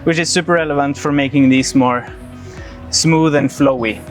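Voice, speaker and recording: A man coaching a handstand session, calm and encouraging, explaining each step plainly. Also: fairly high pitch, moderate pace, music in the background.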